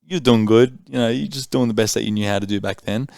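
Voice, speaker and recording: A man's voice: fairly low pitch, fast talker, vocal fry, a little mellow, dry recording, clean studio sound. A man in his thirties hosting a podcast, talking bluntly and emphatically with a lot of swearing. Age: 30s. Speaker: podcast host. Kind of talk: candid conversation.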